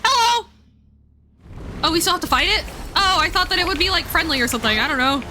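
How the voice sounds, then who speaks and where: A woman's voice: extremely high pitch, expressive, quick pace, noisy background. A woman live streaming a game with casual commentary, chatting informally and thinking aloud as she plays. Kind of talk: casual gameplay commentary and chat. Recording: game music behind the voice. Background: video game music.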